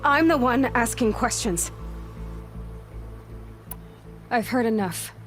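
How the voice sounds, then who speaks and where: A woman's voice: on the high side, normal speed, close to an everyday voice. An actress playing a determined young female hunter in a video game cutscene, questioning firmly and giving commands. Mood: stern. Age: young adult. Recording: soft game music behind.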